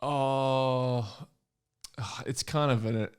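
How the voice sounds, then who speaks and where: A man's voice: mid-range voice, steady tone, very slow, clear, resonant tone. A man of about forty chatting casually as a podcast guest, with a dry, joking, mock-earnest manner. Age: around 40. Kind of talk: casual comedic chat.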